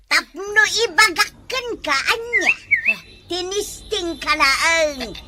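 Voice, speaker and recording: A woman's voice: very high voice, animated, slow pace, very noisy, full character voice. A woman with an Ilocano accent playing a village woman who nags and scolds about money in a comedy drama. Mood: scolding. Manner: scolding, exclamatory. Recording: loud music bed behind the voice.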